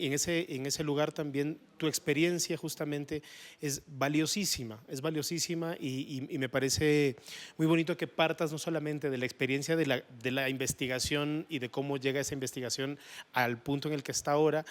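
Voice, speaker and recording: Male moderator for an informal talk. Slightly high pitch, halting and repetitive phrasing, appreciative tone. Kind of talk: informal interview.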